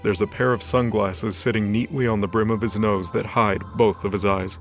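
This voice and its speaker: A man with a fairly low voice narrates a scripted story. He reads descriptive prose as the narrator of an audio drama, with light music underneath. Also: fairly even intonation, normal speed. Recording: soft background music bed.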